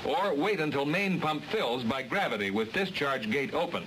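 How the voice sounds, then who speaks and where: A man's voice: mid-range voice, expressive, normal speed. A man giving scripted, matter-of-fact instructional narration for a training film. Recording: hissy old film transfer.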